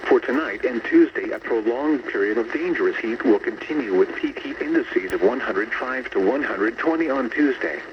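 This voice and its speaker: Noisy dull weather radio, robotic tts guy reading a formal weather bulletin, measured delivery. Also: mid-range voice, lively intonation. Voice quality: synthetic text-to-speech voice. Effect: weather radio broadcast. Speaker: automated weather radio announcer. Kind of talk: scripted weather bulletin narration. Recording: noisy, muffled long-distance radio reception.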